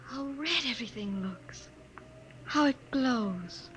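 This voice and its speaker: High-voiced, breathy young American wife. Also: female voice, animated, slow pace, fairly bright tone. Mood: hushed wonder. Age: young adult. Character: young wife, breathless, wondering, tender, hopeful. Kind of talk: 1950s radio drama acting. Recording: noisy 1950 radio transcription.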